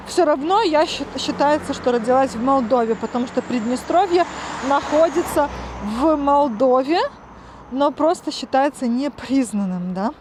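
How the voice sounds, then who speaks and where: A woman's voice: high voice, a little mellow, slight background noise. A woman in her thirties from Transnistria, chatting casually to camera and explaining things step by step with plenty of fillers. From Transnistria, Moldova. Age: 30s. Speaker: vlogger. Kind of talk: casual vlog talk to camera. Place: outdoors and at home, walking.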